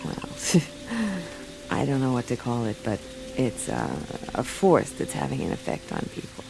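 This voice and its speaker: A woman's voice: rumbling low, sing-song, a little slow, gravelly, over music, exaggerated voice, poor audio. An actress playing a tough, wry woman private detective in a radio play, speaking in an offhand, slangy way. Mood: guarded, matter-of-fact.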